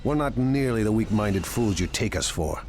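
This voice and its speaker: Smug, scheming crime lord. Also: male voice, on the low side, animated, moderate pace, darkish tone, a little hiss or hum. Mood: sly confidence. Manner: sardonic, self-assured. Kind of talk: scripted video game acting.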